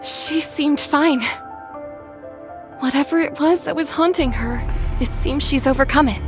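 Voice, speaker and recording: An actress playing a gentle, caring young female soldier in a video game, with a soft-hearted, hesitant manner. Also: high voice, unhurried. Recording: background music under voice.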